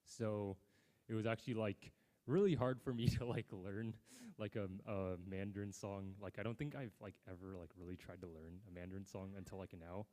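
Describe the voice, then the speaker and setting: A young man from the United States chatting casually on stage at an open mic, relaxed and rambling with lots of fillers, in an outdoor amphitheater. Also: lowish voice, moderate pace.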